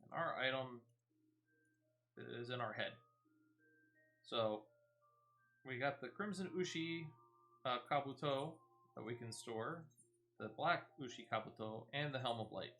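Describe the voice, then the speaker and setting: A man's voice: mid-range voice, measured pace, pauses often, a bit bright. A man giving casual, playful gameplay commentary as he streams. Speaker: game streamer. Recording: music playing behind the voice. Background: game music.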